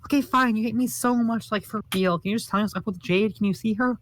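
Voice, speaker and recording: A man's voice: high voice, fast talker, a bit bright. A man voicing a cheerful, naive teenage boy who asks eager, pleading questions. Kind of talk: comic read-along voice acting.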